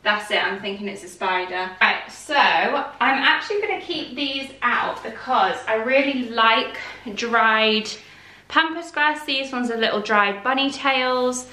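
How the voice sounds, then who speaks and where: A woman's voice: fairly high pitch, a little slow, sharp tone. A young British woman chatting casually as she cleans and decorates her living room, recorded on a camera across the room. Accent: British English. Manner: chatty and upbeat, easily startled. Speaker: home vlogger. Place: living room at home, indoors. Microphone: camera mic from across the room. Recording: roomy, distant camera sound.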